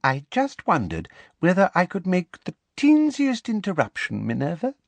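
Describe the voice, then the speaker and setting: A man's voice: high-pitched, wildly swooping pitch, moderate pace, gravelly, no echo, pitched above the performer's own voice, heavily acted. A man voicing a falsely sweet, meddling woman official in an audiobook, honeyed, simpering and over-polite. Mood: sickly sweet.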